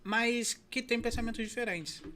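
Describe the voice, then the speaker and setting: A man's voice: extremely high pitch, normal speed. Brazilian man hosting with loose restarts. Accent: Brazilian Portuguese. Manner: relaxed, many restarts and fillers. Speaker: podcast host. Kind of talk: casual interview chat.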